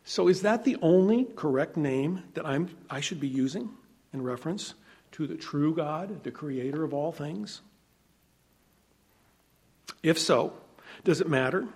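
A man preaching in a fairly high voice, teaching from scripture in a relaxed, conversational way. He reads verses aloud and swings between strong emphasis and soft, low asides. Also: normal speed, long pauses, breathy edge. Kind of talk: sermon, Bible teaching.

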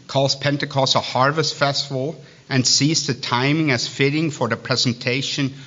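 A man with a Swiss accent preaching a sermon, reading scripture and breaking off into halting, digressive asides full of restarts. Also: medium pitch, steady tone, bright, forceful tone. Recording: dull lo-fi sound.

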